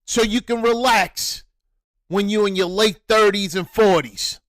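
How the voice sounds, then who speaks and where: A man speaking with a high-pitched voice delivers reaction commentary. He talks at a deliberate, slow pace, lecturing and repeating his points for emphasis. The sound is dry and close. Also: fairly bright tone, dry recording. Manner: preachy, repetitive, emphatic.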